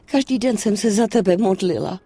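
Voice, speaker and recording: An actress playing an anxious, doting middle-aged Italian mother in a dubbed game, soft, husky and emotional. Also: mid-range voice, no echo, natural voice. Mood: tender, worried. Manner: tender, emotional, fussing. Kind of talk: dubbed video game cutscene acting.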